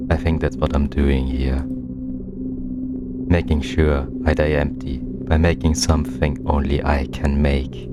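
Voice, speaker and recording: A man voicing an introspective, melancholy twin in a visual novel, narrating in a measured, confessional manner. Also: rumbling low, flat delivery. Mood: reflective, confessional. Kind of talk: scripted narration. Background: loud game music.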